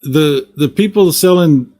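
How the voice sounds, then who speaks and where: A man's voice: on the high side, a little slow, warm tone. A man from Texas co-hosting a podcast, chatting casually in a wry, jokey manner. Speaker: podcast co-host. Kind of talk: casual joking chat.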